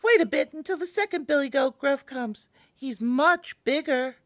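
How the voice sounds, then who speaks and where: Tiny young male storybook goat, timid and pleading, small high-pitched voice played by a female narrator. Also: animated, moderate pace, a bit bright, dry recording, studio quality. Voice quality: small, thin voice. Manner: pleading, innocent. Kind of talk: storybook character voice.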